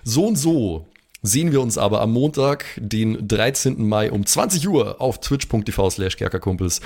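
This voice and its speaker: A man's voice: fairly low pitch, animated, moderate pace, darkish tone. A man bantering casually as a podcast host and player, slangy and jokey. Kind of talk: casual banter and announcements among friends.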